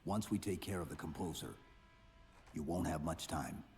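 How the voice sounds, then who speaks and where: An actor playing a stoic armored super-soldier in a video game cutscene, speaking in terse, clipped commands. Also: male voice, rumbling low, normal speed, darkish tone, slight background noise. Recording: long reverb game cutscene mix.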